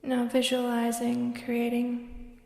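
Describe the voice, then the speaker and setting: A woman leading a guided meditation, speaking slowly and soothingly, sometimes dropping to a whisper. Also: on the high side, completely flat delivery, vocal fry. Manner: slow, soft and soothing, drifting into whispers. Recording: roomy echo with long reverb and soft music.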